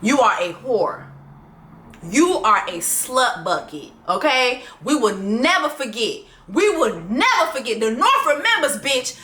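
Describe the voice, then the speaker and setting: A woman's voice: fairly high pitch, sing-song, unhurried, bright, forceful tone. A woman vlogger giving a profane, fired-up, mocking rant about a docuseries. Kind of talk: ranting commentary on a docuseries. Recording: echoey room.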